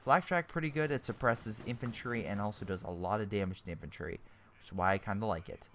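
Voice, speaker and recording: A man's voice: lowish voice, fast, fairly bright tone, slight background noise. A young man with a sore throat giving casual, chatty commentary on a strategy game replay, often correcting himself mid-sentence and airing blunt opinions.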